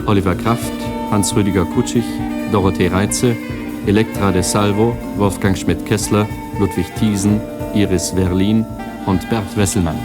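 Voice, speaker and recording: Over loud plucked guitar music, a man formally announces a radio drama's credits. His voice is fairly low and he reads slowly, item by item. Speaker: announcer reading title and cast credits.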